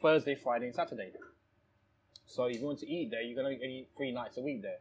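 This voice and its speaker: A man's voice: mid-range voice, fast talker, a little mellow, a little hiss or hum, poor audio. A man telling stories casually in conversation, rambling and repeating phrases, with frequent "you know". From born in Thailand, lives in London. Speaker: restaurant owner, interview guest.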